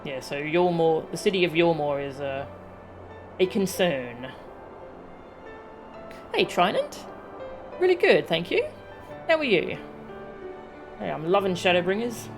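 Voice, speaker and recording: A woman's voice: fairly low pitch, sing-song, measured pace, long pauses. A woman game streamer chatting casually with her viewers on a livestream. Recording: game music behind the voice. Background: video game music.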